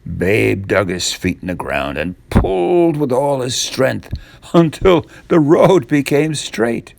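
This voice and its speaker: An older man reading a storybook tale for children in a deliberate way, with dramatic pauses. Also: medium pitch, sing-song, creaky, a little mellow, no echo.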